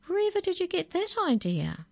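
A woman voicing a grandmother who murmurs with quiet, sly warmth. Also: very high-pitched, huge pitch swings, moderate pace, gravelly, no room sound, pitched above the performer's own voice, full character voice. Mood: affectionate. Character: warm grandmother, knowing and sly.

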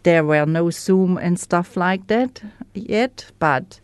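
A woman with a German accent, a native German speaker, candidly sharing her recovery story as a guest in an interview, pausing to search for words. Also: lowish voice, darkish tone, clear, resonant tone. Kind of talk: personal testimony in an interview.

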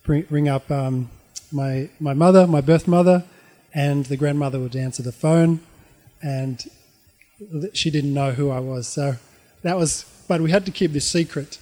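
A middle-aged Australian man shares a personal story in a fairly high male voice. He speaks informally and reflectively, halting often as he searches for the next phrase. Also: mellow.

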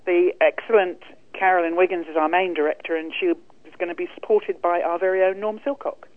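A woman's voice: on the low side, fairly even intonation, brisk, no echo. A chatty New woman speaks down a tinny, narrow-band phone line. The sound is dry and boxy. She talks in an upbeat, self-mocking way, like an organiser promoting her event. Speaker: bridge event organiser calling in. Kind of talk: cheerful phone interview. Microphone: telephone.

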